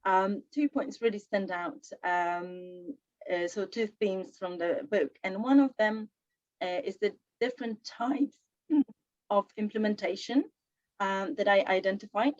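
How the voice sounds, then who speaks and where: A woman with a sore throat sounds a little croaky as she answers an audience question. She speaks thoughtfully, pausing on fillers and restarting her phrases. The dry, dull lo-fi sound of a video call frames her voice. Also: mid-range voice, a little mellow, no echo. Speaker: book author on a panel.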